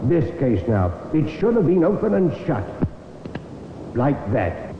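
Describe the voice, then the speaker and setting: An actor playing a reflective, deliberate police inspector in an old radio drama. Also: male voice, fairly high pitch, sing-song. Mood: musing. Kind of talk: 1950s radio drama acting. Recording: echoey, tinny, noisy old radio recording.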